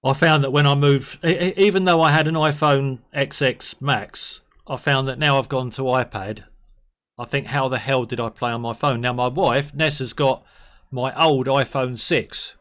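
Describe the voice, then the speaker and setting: A man's voice: medium pitch, steady tone, moderate pace, fairly bright tone. A man hosting a casual game stream, chatty and jokey as he reminisces. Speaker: stream host.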